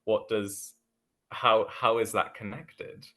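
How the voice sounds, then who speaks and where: A young man takes part in a panel talk, speaking in a thoughtful, measured way and pausing often on "um." His voice sits somewhat low. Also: steady tone, bright, forceful tone. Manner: thoughtful, measured, frequent ums. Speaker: panel guest. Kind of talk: casual panel discussion.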